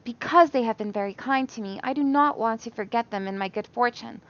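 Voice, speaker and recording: A woman's voice: a little high, a little fast, highs cut off, pitched above the performer's own voice, natural voice. A woman voicing an eager, polite young peasant bride in a storybook reading. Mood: polite pleading.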